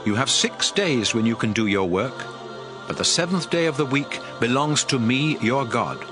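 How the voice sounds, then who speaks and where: Male actor as solemn prophet, commanding, emphatic, very animated intonation, audio drama, music underneath. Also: mid-range voice, moderate pace, close to an everyday voice. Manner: declamatory, emphatic oratory. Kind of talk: dramatized audio Bible acting.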